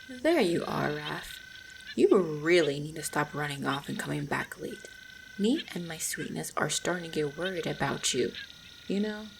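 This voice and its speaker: A woman playing a gentle, devoted orc hunter in a roleplay audio drama, speaking tenderly and reassuringly with a husky, creaky voice. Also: low-pitched, expressive, normal speed, slight background noise, poor audio. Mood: playful scolding. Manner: tender, reassuring, softly spoken. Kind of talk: roleplay audio drama, intimate monologue to the listener.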